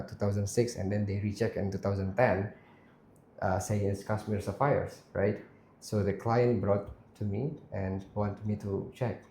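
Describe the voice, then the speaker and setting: A man hosting a casual interview, speaking haltingly and repeating phrases. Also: lowish voice, warm tone. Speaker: interview host. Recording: roomy, echoing sound.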